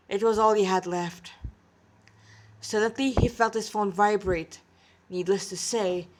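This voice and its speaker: A woman's voice: mid-range voice, poor audio. Woman narrating an amateur story.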